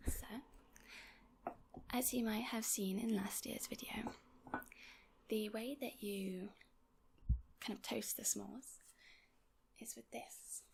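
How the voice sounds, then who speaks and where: A woman whispering in a casual ASMR chat, gently rambling and unhurried, as host of her own video. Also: fairly high pitch, fairly even intonation, creaky. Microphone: portable handheld audio recorder. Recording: close whispered recording on portable recorder.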